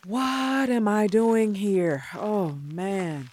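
A woman's voice: mid-range voice, very slow, a little hiss or hum. A young American woman playing an exasperated, sarcastic grown daughter in a naturalistic audio drama. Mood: venting. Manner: sardonic, animated.

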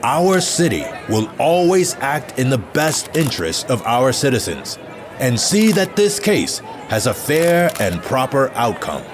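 A man's voice: medium pitch, very animated, a little slow. A man playing a reassuring city official in an audio drama, giving a careful, formal public statement. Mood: assured. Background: music.

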